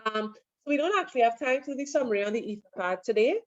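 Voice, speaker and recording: A woman lecturer giving an online class, relaxed and full of fillers as she answers questions. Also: on the high side, quick pace, dry recording. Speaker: university lecturer. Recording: dull lo-fi online audio.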